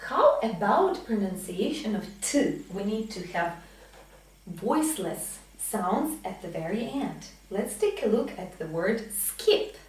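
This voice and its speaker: A woman teacher speaks very slowly, with big swings in pitch as she drills words. She enunciates each one with deliberate emphasis. The room is heavily echoey and she sounds some way from the microphone. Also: big pitch swings, a little mellow, far from the mic. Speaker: English teacher. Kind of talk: pronunciation lesson with drilled example words. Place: small indoor classroom with whiteboard.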